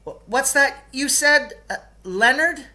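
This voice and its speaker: Flustered female teacher voiced by a man, hesitant, stammering, disapproving, bright tone, storybook reading. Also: very high voice, animated, slow pace, voice pushed up, exaggerated voice. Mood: startled.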